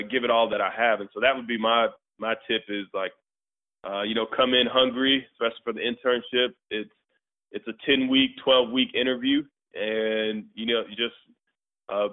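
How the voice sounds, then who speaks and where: Give me a relaxed, slangy man talking casually as a guest, mid-pitched, heard over a narrow phone-like line. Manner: relaxed, slangy, full of "you know"s. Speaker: guest, tech community founder. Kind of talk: casual interview talk.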